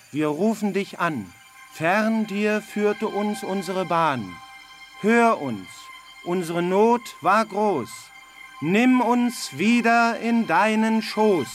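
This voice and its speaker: A man's voice: high voice, animated, very slow, soft background music, heavily acted. A man playing an earnest may beetle in a children's radio play, solemnly declaiming in rhymed verse. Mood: imploring.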